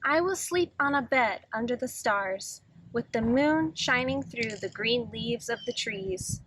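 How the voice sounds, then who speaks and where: A woman's voice: fairly high pitch, animated, measured pace, fairly bright tone, a little hiss or hum, poor audio. A woman reading aloud as an eager, imaginative little boy in a children's picture book, earnest and dreamy.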